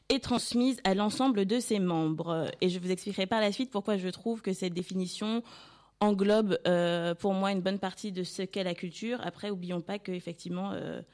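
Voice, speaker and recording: A woman's voice: mid-range voice, darkish tone. A young woman reporter presenting a topic on a student radio show, with frequent hesitations and fillers. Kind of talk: radio segment presentation and street interviews.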